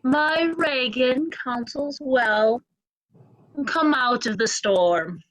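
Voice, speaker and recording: A woman playing a cold, commanding noble in a deliberate Shakespeare reading. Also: high voice, a bit bright, no echo, full character voice, rough recording. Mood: cold, dismissive.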